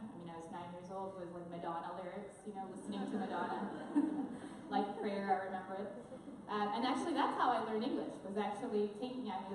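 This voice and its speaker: A woman's voice: medium pitch, a little mellow, slight background noise. A middle-aged woman from Venezuela whose first language is Spanish tells her personal story on a conference stage, candid, with many fillers and asides. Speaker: conference speaker. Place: large conference hall. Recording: echoey hall from far off.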